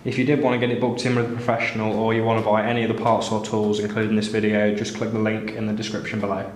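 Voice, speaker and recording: A man's voice: on the low side, monotone, quick pace. A man narrating a step-by-step repair tutorial in a plain, instructional way. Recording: roomy echo, clear background noise, clicks.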